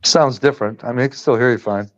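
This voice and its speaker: A man with a smooth, resonant voice chats casually as a guest on a car livestream, relaxed and teasing. The audio is a little muffled and lo-fi, as if it came over a call. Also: medium pitch, quick pace.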